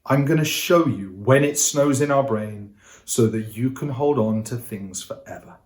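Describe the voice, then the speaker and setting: An upbeat man teaching to camera, talking straight to his audience and coaxing them to take part. His voice sits at an everyday middle pitch. It carries a roomy echo. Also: unhurried, a little mellow. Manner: upbeat, coaxing, addresses viewers directly. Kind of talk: energetic interactive lesson to camera.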